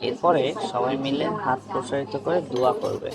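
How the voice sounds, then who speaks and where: A man's voice: medium pitch, normal speed, vocal fry, natural voice. A young man playing a friendly, earnest student who explains religion to his friend in scripted cartoon dialogue, with music behind. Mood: earnest, instructive.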